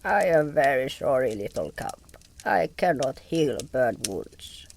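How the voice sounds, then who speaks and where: A man's voice: medium pitch, very animated, measured pace, creaky, slight background noise, pitched above the performer's own voice. A man voicing an old, placid and absent-minded amphibian healer in an audio drama, speaking in simple broken phrases. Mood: gentle, placid. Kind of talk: audio drama character acting.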